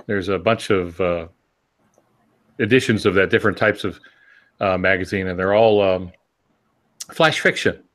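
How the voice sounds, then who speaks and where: A man's voice: deep voice, normal speed, long pauses, a bit bright. A man speaking casually as a panelist on a podcast. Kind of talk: casual chat.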